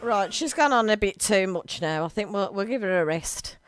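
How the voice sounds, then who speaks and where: Chatty English radio host, lively. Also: female voice, medium pitch, moderate pace. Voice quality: gravelly voice tonight. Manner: chatty, giggly, talks over music. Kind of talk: casual chat. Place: small local radio studio.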